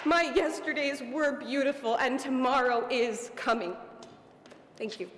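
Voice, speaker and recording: A woman reading a heartfelt personal story aloud at a theater event, tender and slowing down on the emotional lines. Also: high-pitched, a loud crowd, heavy background noise. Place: theater stage. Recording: echoey hall, faint ambience.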